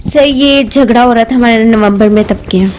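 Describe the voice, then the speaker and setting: A woman with a Pakistani accent and a high voice answers as an interview guest, explaining her side. Faint noise sits behind her. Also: moderate pace, darkish tone.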